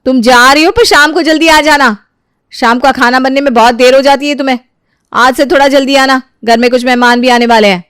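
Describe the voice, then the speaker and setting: A woman's voice: fairly even intonation, fairly quick, fairly bright tone, smooth, dry recording, clean studio sound. A woman voicing a haughty, short-tempered young housewife who nags and scolds her maid in a dramatised moral story. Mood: bossy, curt. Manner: shrill, haughty, nagging.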